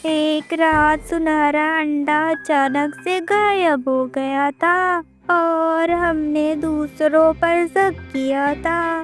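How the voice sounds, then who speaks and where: Woman voicing a slow, solemn old granny bird. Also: very high voice, full character voice. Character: very old grandmother bird, grave and knowing. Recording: music behind the voice.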